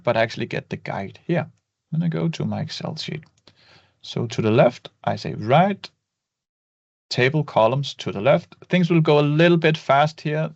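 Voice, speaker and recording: A man's voice: mid-range voice, gravelly, no room sound. Guy walking through excel steps, dry close sound, a bit dull and muffled. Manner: relaxed, explaining step by step with fillers. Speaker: Excel tutorial instructor. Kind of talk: screen-recorded software tutorial. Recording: dry, slightly dull voice-over.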